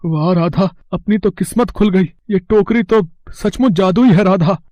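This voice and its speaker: A man's voice: high-pitched, unhurried, a little mellow, dry recording. A man playing a poor, kind village vegetable seller and husband in a storybook tale, earnest and plaintive. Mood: delighted.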